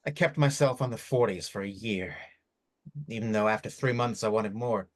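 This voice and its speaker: An actor playing a bitter, worn-out addict in despair in a drama reading, agitated, defensive and stumbling over words. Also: male voice, on the low side, moderate pace, lightly acted. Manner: agitated, defensive, stammering, swearing. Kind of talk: script reading of a stage drama.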